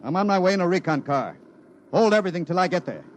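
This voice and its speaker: An actor playing a brusque chief engineer, giving urgent, clipped orders in a 1950s radio drama. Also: male voice, sits high, lively intonation, fast talker. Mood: brisk. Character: brusque, commanding male chief engineer in an emergency. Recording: faintly noisy 1950s radio recording.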